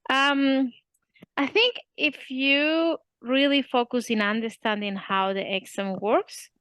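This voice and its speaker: A woman's voice: mid-range voice, a bit bright, clear, resonant tone, no echo. A young woman with a Spanish accent, talking hesitantly in a casual interview, with many fillers and restarts. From Spain. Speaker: student interviewee.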